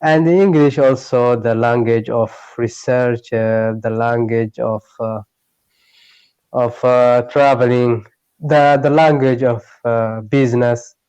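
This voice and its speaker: A man from Eritrea, a Tigray speaker learning English, speaks in halting phrases, often searching for words. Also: mid-range voice, steady tone, a little mellow, smooth, no echo, muffled recording. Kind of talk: online tutoring conversation. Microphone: video call.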